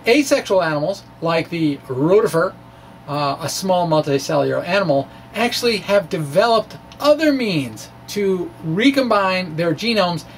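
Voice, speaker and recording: A man giving an informal, halting reading of his science blog, with wry conversational asides. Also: high voice. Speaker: science blogger and presenter. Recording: distant mic with faint background noise.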